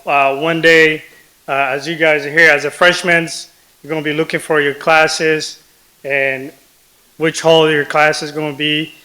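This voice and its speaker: A man speaking informally to students as a guest speaker, giving advice in a halting, hesitant way. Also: on the high side, pushed and bright, poor audio.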